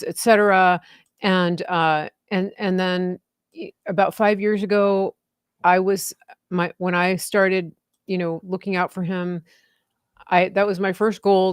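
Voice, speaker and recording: Clear, low-pitched woman of sixty, chatty. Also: no room sound, studio quality. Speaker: live show host. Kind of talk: casual unscripted chat.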